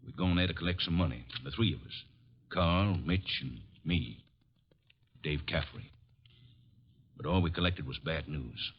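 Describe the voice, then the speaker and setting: A deep American male voice playing a big, grim, stubborn man out for revenge. It comes through a muffled old radio recording, in the style of 1940s crime drama. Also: unhurried, pauses often, slightly rough. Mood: brooding recollection.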